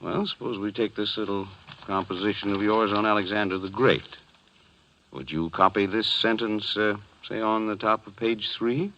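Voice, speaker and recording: A man's voice: fairly low pitch, fairly even intonation, sharp tone, dull audio. A man playing a suspicious, smug, menacing middle-aged stepfather in a 1940s radio drama. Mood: coolly suspicious. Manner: insinuating, deliberate. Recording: band-limited vintage 1940s radio recording.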